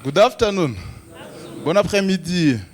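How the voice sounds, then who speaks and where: A man's voice: sits high, lively intonation, moderate pace. A man from Rwanda giving a presentation to a youth forum, asking the audience questions with frequent "uh" hesitations. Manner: engaging, asks the audience questions. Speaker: lead presenter.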